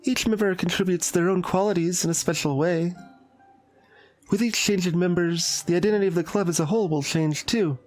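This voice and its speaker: A man's voice: sits high, a little fast, darkish tone, close to an everyday voice. A man voicing an earnest, upbeat teenage girl who leads a school club in a visual novel, speaking sincerely over music. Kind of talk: voiced visual novel reading. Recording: music playing behind the voice.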